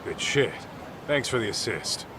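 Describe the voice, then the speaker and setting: An actor playing a brash middle-aged detective in a video game dub, with an American accent and blunt, slangy delivery. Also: male voice, fairly low pitch, expressive, measured pace, noisy background. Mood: brisk, easygoing. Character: brash, street-tough middle-aged male detective, gruffly fatherly. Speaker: private detective.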